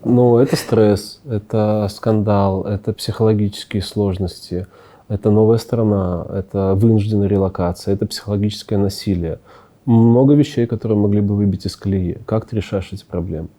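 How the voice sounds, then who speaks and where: A man with a lowish, extremely mellow and dark voice works as an interviewer. He asks his questions in a conversational way. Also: steady tone, moderate pace. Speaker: interviewer, host.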